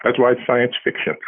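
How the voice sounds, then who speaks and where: A man's voice: medium pitch, a little hiss or hum. An older man, a guest author in an interview, talking casually and telling stories in a conversational way over a narrow phone line.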